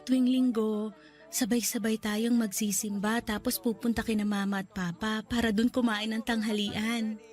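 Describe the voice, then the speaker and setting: A woman playing a gravely ill young lover. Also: fairly high pitch, level tone, normal speed, soft background music, close to an everyday voice. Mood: dreamy. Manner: soft and pleading, voice breaking into tears. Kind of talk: radio drama acting.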